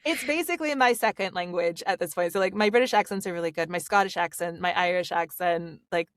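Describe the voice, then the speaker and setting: A woman's voice: on the low side, fast, dry recording. A woman with a standard Pacific Northwest American accent, an audiobook narrator chatting animatedly in a podcast interview, with lots of fillers. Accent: General American, Pacific Northwest. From Pacific Northwest, USA. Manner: animated and talkative, many fillers.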